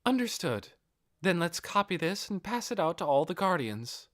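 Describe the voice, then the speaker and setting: A poised demon woman, voiced by a male narrator, attentive to her master. Her lines are pitched well up and lilting, in a dry fantasy audiobook recording. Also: very animated, normal speed, a bit bright, no room sound. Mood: attentive, inquiring.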